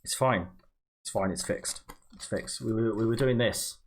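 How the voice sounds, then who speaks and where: A man's voice: on the low side, moderate pace. A man hosting a charity livestream, chatting casually and muttering to himself as he follows craft instructions. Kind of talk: casual chat while folding origami and reading instructions. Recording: clear background noise.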